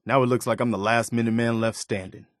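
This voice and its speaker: A man's voice: mid-range voice, fairly even intonation, fast talker, no room sound, lightly acted. A man playing an earnest, weary militia leader in a video game, speaking in a sincere, plain-spoken, conversational way. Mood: weary, somber. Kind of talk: video game dialogue acting.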